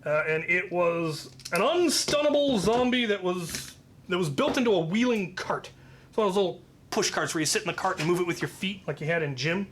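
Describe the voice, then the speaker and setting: A man telling a story in a casual, rambling way as host, leaning deliberately on his key points. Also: high voice, lively intonation, fairly bright tone, a little hiss or hum.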